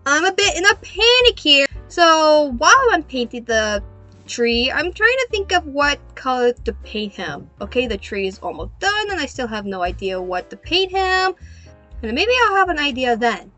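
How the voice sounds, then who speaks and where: A young adult woman narrating a craft video as host in a playful, animated, self-mocking way. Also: sits high, moderate pace, soft background music.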